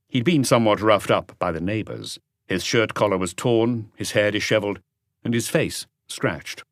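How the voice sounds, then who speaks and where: A man reading a crime novel as an audiobook, in measured, articulate scripted narration. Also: fairly low pitch, dry recording, clean studio sound.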